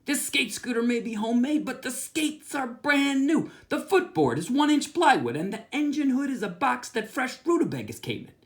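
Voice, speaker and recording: A man voicing a smooth-talking, cocky young boy who talks friends into trades, as a storybook reading. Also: very high voice, big pitch swings, normal speed, hardly any pauses, pitched above the performer's own voice, heavily acted. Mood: persuasive. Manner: persuasive, cocky.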